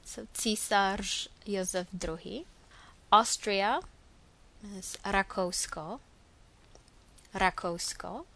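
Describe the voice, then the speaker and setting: Slovak-accented woman, young adult, unhurried, says every name twice. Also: mid-range voice, fairly bright tone. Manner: careful, slow, repeats each word twice. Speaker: dialect archive speaker. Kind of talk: reading names aloud for a pronunciation recording.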